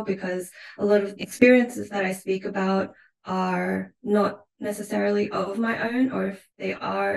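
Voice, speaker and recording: A woman with an Australian accent, speaking reflectively and thoughtfully about her own work as an artist in an interview, in a measured way with pauses mid-phrase. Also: fairly low pitch, gravelly. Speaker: visual artist being interviewed. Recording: roomy, echoing sound.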